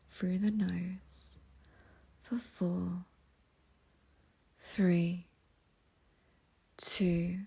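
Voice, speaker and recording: A woman leading a guided meditation in a soft, breathy near-whisper, with a soothing, gentle, intimate manner. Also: medium pitch.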